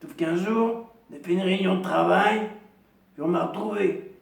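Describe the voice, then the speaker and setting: A man playing a lonely, proud woman in her fifties, a chain-smoking heavy drinker with a deep, gravelly voice who rambles slowly and repeats herself in a comic monologue. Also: reverberant, far from the mic. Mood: rambling. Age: 50s. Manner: slow, rambling, repetitive, self-pitying.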